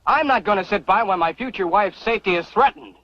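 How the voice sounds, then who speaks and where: An actor playing an earnest, protective and excitable young suitor with a folksy manner in an old western radio drama. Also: male voice, high-pitched, fast talker. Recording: muffled old radio recording, loud music.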